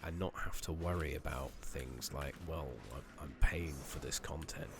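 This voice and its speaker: A man doing soft-spoken, partly whispered ASMR commentary over a video game, rambling casually with mild swearing, on a noisy makeshift microphone. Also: rumbling low, normal speed. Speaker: game streamer playing an online fantasy game. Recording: noisy makeshift microphone, clear background noise.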